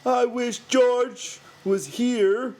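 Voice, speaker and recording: High whining childlike big man. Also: fairly even intonation, extremely slow, a little mellow, exaggerated voice. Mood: whining, pleading. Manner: whiny, crying out. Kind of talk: audiobook character voice.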